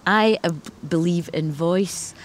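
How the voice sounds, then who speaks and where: Glasgow woman poet, low voice, thoughtful and measured, light background hiss. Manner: thoughtful, reads a poem aloud slowly. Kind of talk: interview with poetry reading.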